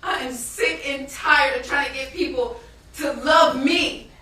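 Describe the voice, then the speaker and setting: A woman's voice: high-pitched, bright, forceful tone. A woman acting a grieving, despairing young woman who pleads emotively for her lost mother in a stage play. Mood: anguished, pleading. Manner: emotive, pleading, drawn-out delivery. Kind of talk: stage play monologue breaking into gospel-blues song. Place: stage in a theatre. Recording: echoey stage, distant mic, faint noise.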